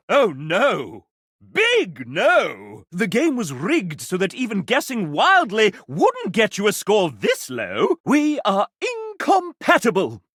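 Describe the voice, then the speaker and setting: Flamboyant, wildly swooping game-show mascot. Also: male voice, on the high side, a bit bright, breathy edge, dry recording, studio quality, heavily acted. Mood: indignant, dramatic, then sassy, mocking. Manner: theatrical, pompous, showy, flowery diction. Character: flamboyant, sassy male game-show host mascot of a dating game. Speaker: video game voice actor. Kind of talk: video game character voice lines, game-show hosting.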